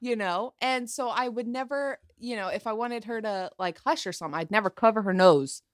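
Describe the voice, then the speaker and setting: A woman's voice: a little high, brisk, no echo, clean studio sound. A woman in her thirties telling a story in casual chat among friends, laughing often and swearing freely. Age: 30s.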